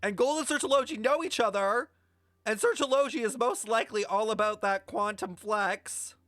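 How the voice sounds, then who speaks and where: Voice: medium pitch, clean studio sound. A brash, sweary livestreamer chatting casually and rambling through an explanation, playfully scolding viewers with theatrical emphasis. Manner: animated, theatrical emphasis with drawn-out words. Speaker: streamer reacting to a video game story. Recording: roomy echo, faint music behind.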